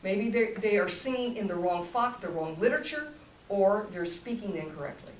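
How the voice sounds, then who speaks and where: A woman's voice: medium pitch, lively intonation, moderate pace. An older woman, a voice teacher, explaining technique in a demonstrative coaching way, heard from a distance in a room. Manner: demonstrative, coaching, talks in bursts. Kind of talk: voice masterclass talk. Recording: roomy, distant, faint hum.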